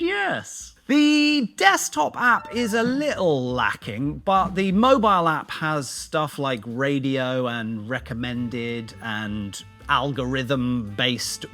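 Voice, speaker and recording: A man's voice: on the high side, fairly bright tone. A British man hosting a casual tech review vlog, chatty and self-deprecating, with jokey, slangy asides. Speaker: YouTube video host.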